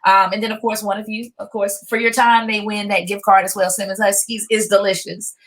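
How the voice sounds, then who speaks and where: A woman's voice: medium pitch, level tone, brisk, a bit bright. A woman hosting a seminar, making informal announcements in a polite, improvised way. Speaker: host, moderator.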